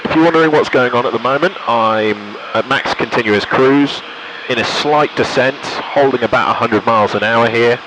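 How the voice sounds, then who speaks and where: A man's voice: mid-range voice, moderate pace, noisy background. A British man giving a casual commentary from a light aircraft cockpit, thinking aloud and making radio calls into a headset. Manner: thinks aloud while concentrating. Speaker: pilot and vlogger.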